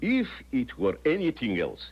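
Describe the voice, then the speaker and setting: Husky, imperious, swooping prince. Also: male voice, medium pitch, wildly swooping pitch, moderate pace, exaggerated voice. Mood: dismissive. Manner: grand, formal, emphatic. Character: imperious Russian prince, chief of secret police. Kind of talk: 1970s radio drama acting. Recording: dull 1970s radio recording.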